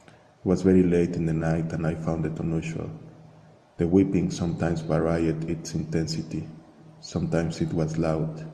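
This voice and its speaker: Male narrator, dark flat delivery, measured and reflective scripted storytelling, echoey room with faint noise. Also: very deep voice, gravelly. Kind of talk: slow scripted first-person narration, spoken-word art piece. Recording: echoey long reverb, faint hiss.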